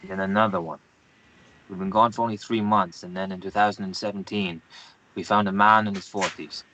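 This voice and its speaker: A man's voice: fairly low pitch, steady tone, brisk, bright, forceful tone, slight background noise, rough recording. A man playing a curt, grave Guatemalan newspaperman in his thirties at a screenplay table read. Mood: grim, haunted.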